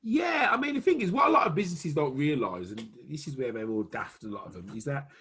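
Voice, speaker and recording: Man, Welsh accent, bright tone, husky, casual video call, chatty. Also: on the high side, very animated, fast. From Wales. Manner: chatty, laughs often, punchy asides. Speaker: marketing consultant.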